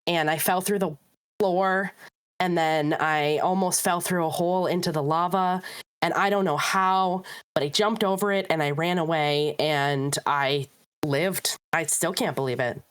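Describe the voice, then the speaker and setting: A woman co-hosting a podcast in casual chat, upbeat and chatty, her voice a little rough and hoarse from a recent illness. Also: fairly low pitch, fairly even intonation, a little slow. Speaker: podcast co-host.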